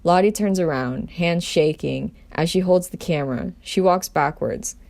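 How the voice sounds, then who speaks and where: A woman's voice: on the low side, unhurried, vocal fry, darkish tone. A woman doing an amateur table read as storyteller, narrating a script in her own voice.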